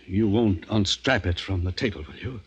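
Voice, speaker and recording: An actor playing a scientist's horrified, moralizing colleague in a 1950s radio drama, earnest and pleading. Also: male voice, lowish voice, moderate pace, natural voice. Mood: grave reproach. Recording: noisy 1950s radio broadcast recording.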